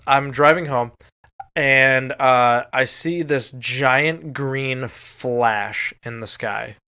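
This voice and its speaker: A man's voice: mid-range voice, extremely slow, pushed and bright, smooth. An American man co-hosting a podcast, chatting and telling stories casually with some swearing. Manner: casual, profane. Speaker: podcast co-host. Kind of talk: casual podcast chat, storytelling.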